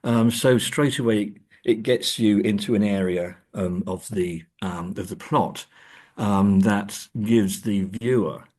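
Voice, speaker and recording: A low, husky male voice. He talks like an academic being interviewed, feeling his way through points with frequent repeated words. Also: darkish tone. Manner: halting, repeats words as he thinks. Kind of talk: interview discussion of film adaptations.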